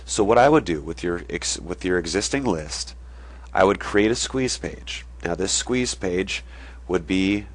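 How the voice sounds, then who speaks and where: A young man with a very low voice, blocked up and stuffy from a cold. He explains things casually and keeps stopping to check that viewers follow as he sketches. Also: animated, a little slow. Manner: conversational, drawing on a board while explaining. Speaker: online marketing video host.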